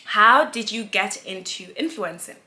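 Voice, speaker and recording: A woman's voice: mid-range voice, expressive, a little slow, sharp tone. A woman in her twenties from Nigeria, chatting casually and giggling as she answers viewer questions in a sit-down vlog. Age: 20s. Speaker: influencer, vlogger.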